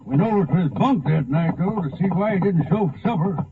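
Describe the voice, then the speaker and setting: High-pitched cowboy, old radio. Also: male voice, expressive, fairly quick. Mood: plain, curious. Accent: American. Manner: folksy, clipped sentences. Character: laconic cowboy ranch hand, helpful and plain-spoken. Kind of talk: 1950s American radio western acting. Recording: tinny vintage 1950 radio broadcast.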